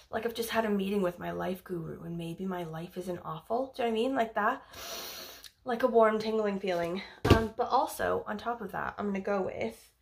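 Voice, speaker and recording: A woman's voice: medium pitch, vocal fry. A young woman from Wales chatting casually about books, rambling with lots of fillers and the odd swear word. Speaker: book vlogger. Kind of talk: casual book review chat.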